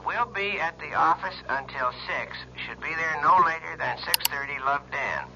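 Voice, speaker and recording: A courteous hotel clerk in a radio drama. Her voice is very low and a little creaky. It comes dully over a telephone line. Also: moderate pace, noisy background. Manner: courteous, businesslike. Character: polite female hotel message desk clerk. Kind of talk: old-time radio acting. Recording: dull, distant telephone line on old radio.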